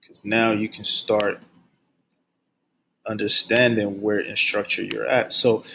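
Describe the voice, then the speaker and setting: A young man teaching forex basics, keeping his voice low and subdued, and repeating key phrases over and over as he drills them in. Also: slight background noise, rough recording. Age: young adult. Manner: speaks low and subdued on purpose. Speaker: forex trading instructor. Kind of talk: screen-share trading lesson. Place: at his workplace.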